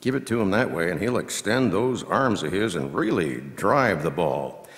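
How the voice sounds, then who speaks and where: A man playing a seasoned, folksy baseball radio announcer, giving play-by-play with measured asides that break into punchy, drawn-out calls. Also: low-pitched, big pitch swings. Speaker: reader performing a scripted baseball commentary. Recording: long hall reverb from live venue.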